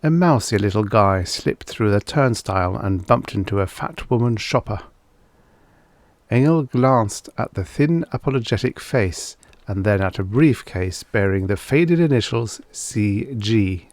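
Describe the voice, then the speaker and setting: Slow, low-voiced man narrating a story. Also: lively intonation, a little mellow, dry recording. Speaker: audiobook narrator.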